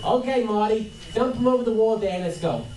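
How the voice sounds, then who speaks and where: A man's voice: sits high, brisk, darkish tone, lightly acted. A man playing a cocky, bullying gangster boss in an amateur radio play. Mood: threatening. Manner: menacing, tough-guy. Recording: roomy, distant, noisy live panel recording.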